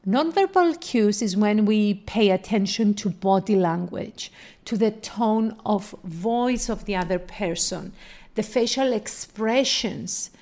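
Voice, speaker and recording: A woman with a Greek accent speaks slowly at a middling pitch. She sounds like a podcast coach explaining things step by step, deliberate and instructive. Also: dark tone. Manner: deliberate, explanatory, poses questions then answers them. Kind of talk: instructional solo podcast talk with personal stories.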